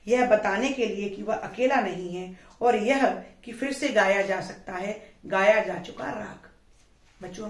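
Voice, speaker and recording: Woman teacher, higher voice, roomy and distant sound, explains and answers her own questions. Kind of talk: explaining a poem to students. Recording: echoey room, distant mic.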